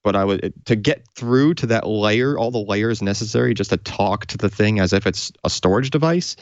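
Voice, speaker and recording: Male tech co-host, low register, enthusiastic unscripted explainer, dry and slightly dull sound. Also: normal speed, no echo. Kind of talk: unscripted technical explanation, conversational.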